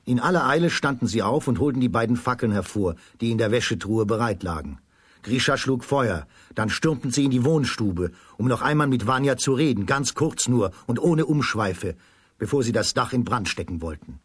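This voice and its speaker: A man narrating a children's radio drama as a scripted story, in a measured, literary storytelling manner. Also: medium pitch, lively intonation, breathy edge, no room sound.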